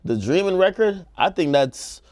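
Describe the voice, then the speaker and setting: A man's voice: high voice, lively intonation, normal speed, darkish tone, dry recording, clean studio sound. A man co-hosting a casual music discussion show, hedging and qualifying his opinions as he talks. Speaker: talk show co-host.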